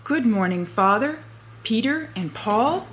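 A woman voicing a clever, cheeky young farm boy who talks brightly and slyly. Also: on the high side, animated, unhurried, highs cut off.

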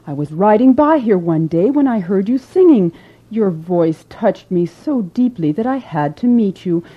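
A woman's voice: mid-range voice, animated, measured pace, husky. A woman voicing an earnest, romantic young prince who speaks in a courtly storybook manner, in a slightly muffled recording.

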